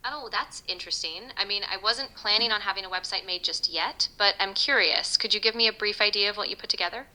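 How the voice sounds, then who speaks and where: A synthetic voice playing a friendly female boutique owner with a strikingly bright, crisp-edged tone. She is polite, curious and agreeable, chatting conversationally as if taking a sales call. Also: mid-range voice, quick pace, hardly any pauses, rough recording. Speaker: AI voice assistant in a sales call role-play. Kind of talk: conversational role-play, playing a prospective customer.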